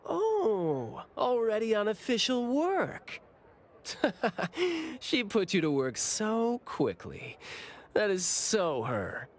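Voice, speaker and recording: An actor playing a playful, teasing senior bureau officer in an anime game, with drawn-out, theatrical delivery. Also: medium pitch, huge pitch swings, exaggerated voice. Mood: playful, breezy.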